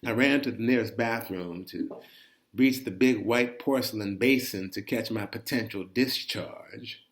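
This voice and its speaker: Man telling a folksy, animated personal story. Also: lowish voice, a little slow. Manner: animated, folksy, with asides to the listener. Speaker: reader of a personal chronicle. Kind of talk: conversational first-person storytelling.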